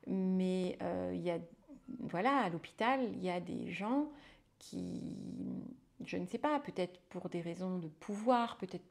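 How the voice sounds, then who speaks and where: A woman's voice: on the low side, lively intonation, unhurried, gravelly. A woman, a midwife turned hypnotherapist, talking in a casual interview with many fillers and restarts.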